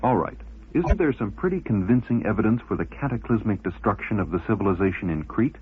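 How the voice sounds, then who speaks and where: Low, husky male radio presenter. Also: expressive, brisk. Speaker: radio documentary host. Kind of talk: scripted narration and interview questions. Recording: muffled, narrow-band old radio broadcast.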